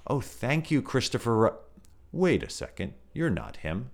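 A man voicing a gentle, slow-talking teddy bear who muses in folksy sayings. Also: medium pitch, wildly swooping pitch, pitched above the performer's own voice, exaggerated voice. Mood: cheerful.

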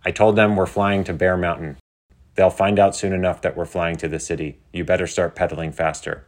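A man's voice: deep voice, flat delivery, a little fast, close to an everyday voice. A small, loyal storybook robot acted by a man, once a humble calculator. It speaks with earnest, formal precision, treating every detail like official data. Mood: matter-of-fact. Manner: formal, precise, recites codes and numbers. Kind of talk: scripted children's audio story.

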